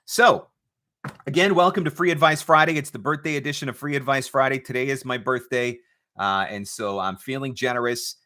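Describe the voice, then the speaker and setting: A man with a bright, forceful voice of middling pitch hosts a live advice session. He talks conversationally as a coach would, explaining step by step and stressing his main points by repeating them. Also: fairly quick. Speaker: host, voice-over marketing coach.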